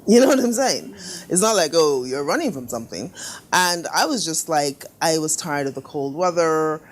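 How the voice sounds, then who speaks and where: A middle-aged woman designer telling stories in a casual interview, lively and laughing often, doing little voices for her parents. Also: sits low, normal speed, rough recording. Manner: animated storyteller, laughs often, acts out quoted family voices. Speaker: fashion designer guest. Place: living room studio with sofas.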